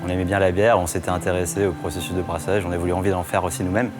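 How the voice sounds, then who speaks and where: A man from Réunion, a brewery co-founder, telling his story quickly and casually in an interview. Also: sits low, flat delivery. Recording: music bed under the voice.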